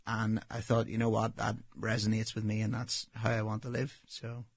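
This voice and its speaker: A Northern Irish man in his thirties, a restaurant owner answering interview questions in a relaxed, matter-of-fact way with frequent ums. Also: lowish voice, normal speed, creaky, slightly rough, no room sound, studio quality. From Northern Ireland.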